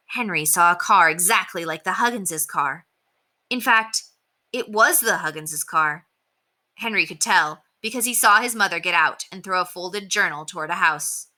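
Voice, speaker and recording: A woman's voice: fairly low pitch, bright, forceful tone. A woman reading a children's chapter book aloud as a storyteller, in scripted narration.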